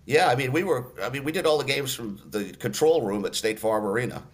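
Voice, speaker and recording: An older man, a radio announcer, telling stories in a relaxed interview with lots of fillers and restarts. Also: mid-range voice, brisk. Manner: storytelling, many fillers and restarts.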